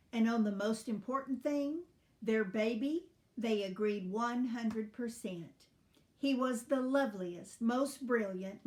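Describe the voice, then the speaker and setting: A woman storyteller reading expressively for children in a deliberate, teacherly manner. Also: medium pitch.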